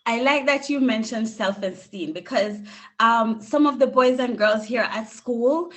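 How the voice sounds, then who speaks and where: A woman's voice: fairly high pitch, measured pace. A woman, hosting a conversational interview over a video call. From Jamaica. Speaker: interviewer at a school. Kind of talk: conversational interview with prepared questions.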